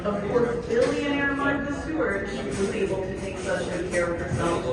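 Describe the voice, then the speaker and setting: A woman's voice: mid-range voice, steady tone, normal speed, over a noisy crowd. A woman doing a stand-up comedy set with a dry, self-deprecating delivery, at an event. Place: event hall with a crowd. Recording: echoey hall, mic heard from far off.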